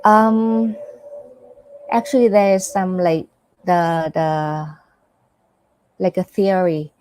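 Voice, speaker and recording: A Buddhist nun from Thailand with a Thai accent, giving a teaching with live questions and answers. She pauses often and searches for her words. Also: fairly low pitch, steady tone, darkish tone, no room sound, rough recording. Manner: hesitant, searches for words with many fillers.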